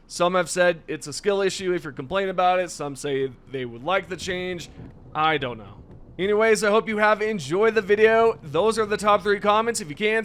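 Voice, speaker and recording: A young man hosting a gaming news video, chatty and enthusiastic, his voice slightly raspy today. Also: high voice, fairly bright tone. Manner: enthusiastic, chatty, addresses viewers directly. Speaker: gaming channel host. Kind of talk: casual gaming news commentary over gameplay.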